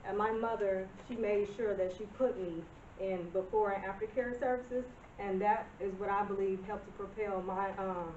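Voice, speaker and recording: A woman in her thirties presenting a business proposal at a village board meeting, nervous and halting, with self-conscious laughs. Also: medium pitch, fairly even intonation, darkish tone, a little hiss or hum. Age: 30s. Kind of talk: public comment at a meeting. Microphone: far camera. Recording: distant roomy lo-fi meeting video.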